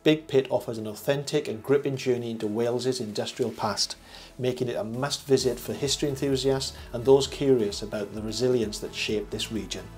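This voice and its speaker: An older man reading a scripted review voice-over as host, in a measured, formal presenter manner. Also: medium pitch, steady tone, a little mellow. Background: background music.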